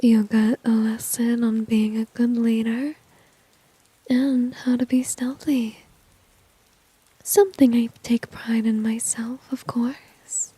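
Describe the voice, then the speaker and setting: Breathy whispering villainess, played by a woman, slow and flirty. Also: fairly high pitch, little pitch movement, warm tone, no echo, exaggerated voice, poor audio. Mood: smug, teasing. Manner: slow, teasing, smug, coaxing. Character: confident, flirty, dominant female villain teasing an enemy. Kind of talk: ASMR roleplay.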